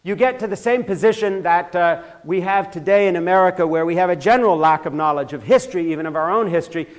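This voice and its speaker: An older man from Switzerland lectures in a church with a fairly high voice. He builds from measured remarks to heated, forceful emphasis and often trips over and restarts his phrases. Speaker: speaker giving a church talk. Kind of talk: impassioned lecture.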